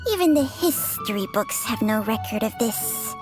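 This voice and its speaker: A woman's voice: high-pitched, lively intonation, slow, music in the background. A woman voicing an ancient, teasing female snake spirit in a video game. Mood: dry. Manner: teasing, haughty.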